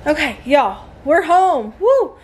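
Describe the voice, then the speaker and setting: A woman's voice: high voice, takes it slowly, noisy background. A young woman with a Southern American accent, a homeschooling mom chatting casually and animatedly in her home vlog, filmed on a phone at arm's length. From southern United States. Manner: chatty, animated, laughs often. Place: car, then living room of a mobile home. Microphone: phone held at arm's length.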